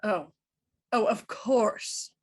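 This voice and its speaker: An actress playing a composed, shrewd Victorian police inspector in a radio mystery, speaking in a cool, deliberate, probing way. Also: female voice, mid-range voice, breathy edge. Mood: firm.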